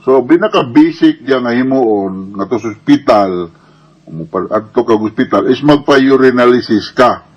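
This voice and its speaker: A man's voice: mid-range voice, normal speed, highs cut off. A Filipino man with a Cebuano Bisaya accent answers listeners' questions as a medical advice host, explaining casually and didactically with frequent check-in tags and English medical terms.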